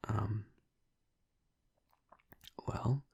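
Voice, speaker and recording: A man acting as a tender male vampire boyfriend in an intimate audio roleplay. His voice is very deep and thick with fry, breathy and soft. He talks slowly and tentatively, right up close to the listener in a dry recording. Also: steady tone, no echo, muffled recording, exaggerated voice. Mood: shy, affectionate. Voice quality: husky, breathy, creaky. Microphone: close, dry microphone.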